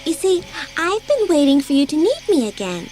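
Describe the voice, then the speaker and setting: A woman's voice: high-pitched, big pitch swings, moderate pace, a little mellow, soft background music. A woman playing a girl's imaginary friend come alive, possessive and sly, coaxing secretively in a dramatised audiobook. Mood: thrilled, scheming.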